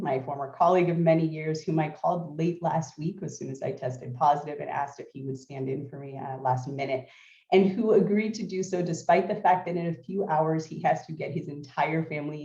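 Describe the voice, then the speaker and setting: A woman from California giving remote welcome remarks as an institute president, hoarse and clearing her throat often. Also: low voice, mellow. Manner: frequent throat clearing, measured. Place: at home, remote video link. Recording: dull, echoey remote audio.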